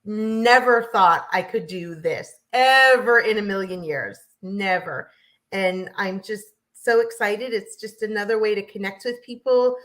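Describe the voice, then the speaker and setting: An American woman from New Hampshire telling her story as an interview guest in an animated, chatty way. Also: medium pitch. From southern New Hampshire, United States. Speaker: guest, realtor.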